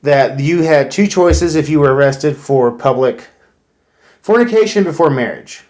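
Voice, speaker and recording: An American man with a voice a bit higher than most men's. He co-hosts a casual chat podcast and explains things conversationally, with a halting delivery. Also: animated, darkish tone. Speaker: podcast co-host.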